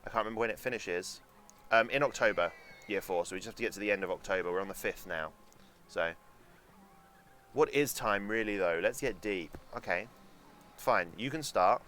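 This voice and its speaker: A young man with a low voice talks casually over a theme park game on a live stream. His tone is bright and forceful. He rambles to his chat, makes sarcastic asides and swears, with a faint hum of background noise. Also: normal speed. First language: German.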